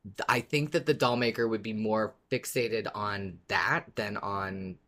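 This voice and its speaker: A podcast co-host chatting casually and enthusiastically about a favourite story, quick to giggle. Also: mid-range voice, fairly bright tone. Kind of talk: casual fan podcast discussion.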